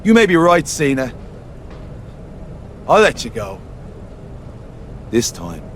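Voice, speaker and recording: A man's voice: mid-range voice, huge pitch swings, a little hiss or hum. A man playing a menacing Celtic warrior wrestler with a grandiose manner in a video game. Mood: grudging. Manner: slow, grandiose threats. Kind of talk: scripted wrestling game cutscene acting.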